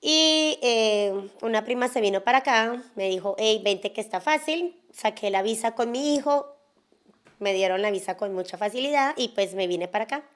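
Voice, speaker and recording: A woman with a Colombian accent from Cali, a guest in a podcast interview telling her story frankly in a relaxed way, with a lot of fillers. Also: a little high, normal speed. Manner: frank, relaxed, many fillers and little asides. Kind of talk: casual conversational storytelling.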